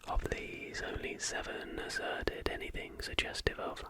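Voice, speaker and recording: A man narrating in an unvoiced, breathy whisper as the softly spoken host of an ASMR channel. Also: a little slow.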